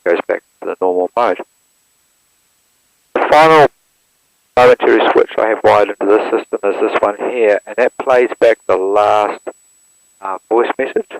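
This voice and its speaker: A man, walking through how to operate an aircraft radio step by step in a plain, steady manner, recorded through an intercom headset. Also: fairly low pitch, moderate pace, creaky, pauses often, no room sound, rough recording. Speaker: instructor demonstrating an aircraft radio. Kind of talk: hands-on equipment walkthrough.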